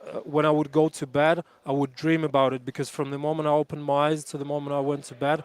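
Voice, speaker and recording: A man's voice: a little high, little pitch movement, fast, clean tone, dry recording. A man in his thirties, a jiu-jitsu coach, answering interview questions earnestly and reflectively, with frequent restarts. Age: 30s.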